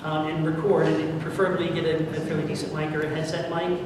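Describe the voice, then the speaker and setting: A man's voice: a little high, flat delivery, quick pace, background noise. A man hosting an informal question-and-answer panel at a convention. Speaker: audio drama producer and panel host. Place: large indoor convention room with audience. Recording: echoey crowded hall, distant camera mic.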